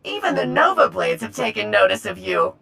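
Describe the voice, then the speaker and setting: A woman's voice: medium pitch, very animated, fairly quick, vocal fry, bright, forceful tone, exaggerated voice. A woman voicing a chirpy, polite servant herald in a video game, with effusive, formal, flowery speech. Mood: eager, deferential. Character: chirpy, polite, excitable servant herald spreading gossip of a legend.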